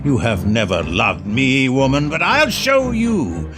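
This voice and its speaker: An actor playing an ill-tempered, sickly, domineering husband in an audio drama, spiteful and accusing. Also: male voice, medium pitch, sing-song, takes it slowly. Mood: bitter, accusing. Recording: loud music behind the voice. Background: music.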